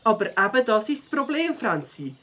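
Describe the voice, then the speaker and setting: High-voiced resolute young leader, played by a woman. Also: very animated, normal speed, fairly bright tone, a little hiss or hum. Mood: serious. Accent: Swiss. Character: brave, forest-wise young leader of a group of friends. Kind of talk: children's audio drama acting.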